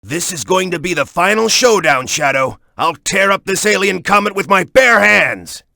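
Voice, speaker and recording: A hot-headed young male video game hero with a high, bright voice. He is brash and urgent, swinging widely in pitch as he punches out his lines. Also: lively intonation, normal speed, vocal fry, no room sound, full character voice. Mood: fierce, determined. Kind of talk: video game character voice clips.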